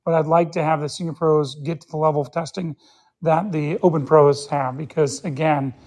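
A man's voice: a little high, fairly even intonation, normal speed, darkish tone. A man in his fifties, a guest in a casual sports interview, speaking in an earnest, opinionated way as he thinks aloud. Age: 50s. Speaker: interview guest, pickleball player.

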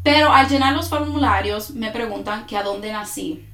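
A woman's voice: fairly high pitch, moderate pace, pushed and bright, reverberant. A woman with a Dominican accent, hosting her own podcast, talks in a casual personal monologue and laughs often out of nerves. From born in New York, raised in the Dominican Republic. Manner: nervous, laughs often while talking. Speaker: podcast host.

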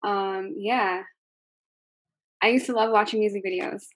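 A young woman who sings, answering interview questions in a soft voice, with many ums and likes. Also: mid-range voice, steady tone, a little slow, a little hiss or hum. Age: young adult. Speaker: singer being interviewed.

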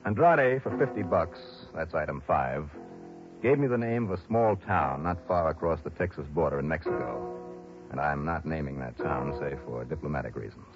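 An actor playing a wry, brisk insurance investigator in a 1960s radio drama, narrating with punchy, clipped emphasis. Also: male voice, rumbling low, expressive. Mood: dry, matter-of-fact. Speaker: lead actor and narrator of a radio detective series. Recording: dull old radio broadcast with music.

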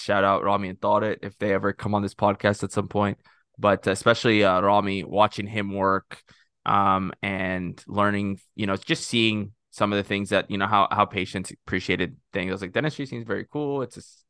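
A man's voice: on the low side, creaky, sharp tone, clear, resonant tone, no echo, studio quality. A young man from Texas co-hosting a podcast, chatting casually in rambling, self-interrupting talk with jokes. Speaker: podcast co-host.

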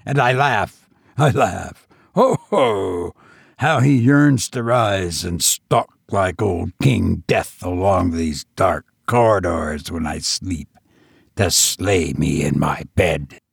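A man's voice: low voice, slow, vocal fry, slightly rough, no room sound, clean studio sound, voice pushed down, exaggerated voice. A man voicing an insane, murderous tavern host who escaped from prison, roaring and laughing madly. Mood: menacing. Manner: roaring, mad mirthless laughter.

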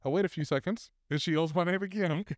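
A man's voice: sits high, fast talker, no room sound, clean studio sound. Man reading stories aloud with laughs. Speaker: podcast co-host reading posts aloud. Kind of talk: casual podcast chat.

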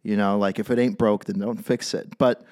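A man's voice: on the low side, fairly even intonation, moderate pace, darkish tone, no room sound, studio quality. A young man from California with a Californian accent, co-hosting a casual podcast, joking and rambling with many ums. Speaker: podcast co-host. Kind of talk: casual chat.

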